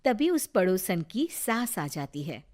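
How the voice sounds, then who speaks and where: Slow-paced woman storyteller. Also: mid-range voice, big pitch swings, a little mellow. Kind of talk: scripted moral-story narration.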